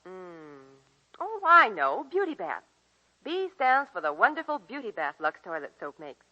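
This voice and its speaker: A woman's voice: mid-range voice, big pitch swings, a little slow, bright, forceful tone. An actress playing an eager, chirpy young woman in a scripted 1940s radio commercial. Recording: muffled vintage 1940s radio broadcast.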